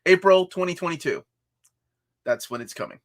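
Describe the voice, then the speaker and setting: Chatty man with a lively voice. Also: sits high, moderate pace. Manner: friendly, hesitant with many fillers and restarts. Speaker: co-host of a comics livestream. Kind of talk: casual chat.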